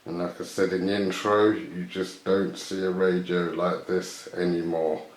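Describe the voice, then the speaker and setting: A man's voice: sits low, flat delivery, a little slow. A man casually presenting a show-and-tell video, unscripted and tentative, often guessing as he goes. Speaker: video host showing vintage items. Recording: echoey room.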